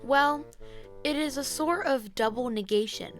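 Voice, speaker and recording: A woman's voice: high-pitched, unhurried. Woman as a formal bailiff over music. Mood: businesslike. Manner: official, explanatory. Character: formal, matter-of-fact female court bailiff.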